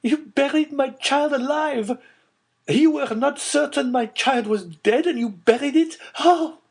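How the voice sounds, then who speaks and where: A man's voice: extremely high pitch, a little slow, gravelly, pitched above the performer's own voice, full character voice. A man voicing a distraught aristocratic woman, pleading and close to sobbing. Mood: anguished.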